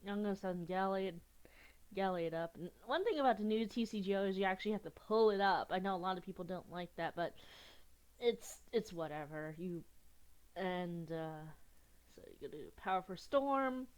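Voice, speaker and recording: A woman's voice: medium pitch, animated, moderate pace, a little mellow. A young woman with a cold, sniffling a little as she chats casually through an online card game, giggling and restarting her sentences. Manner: chatty, giggly, restarts often. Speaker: gaming youtuber.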